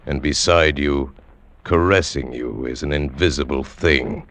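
Very deep-voiced vintage radio announcer, male. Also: a bit bright, no room sound. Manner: ominous, deliberate, theatrical. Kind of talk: dramatic 1940s radio announcing, scripted. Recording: vintage 1940s radio recording.

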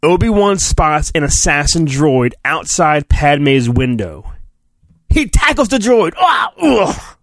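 A man's voice: a little high, very animated, takes it slowly, no room sound. A man hosting a podcast, giving a comic, mock-earnest dramatic storybook reading with chatty asides and his own sound effects.

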